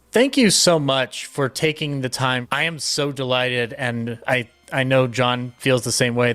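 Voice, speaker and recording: A man's voice: mid-range voice, fairly bright tone. An American man co-hosting a podcast interview, effusive and casual, with "like" sprinkled through his talk.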